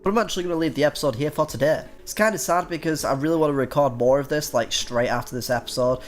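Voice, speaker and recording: A man's voice: on the high side, fast. A man in his twenties with a head cold, giving casual let's-play commentary. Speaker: gaming YouTuber. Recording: music behind. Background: background music.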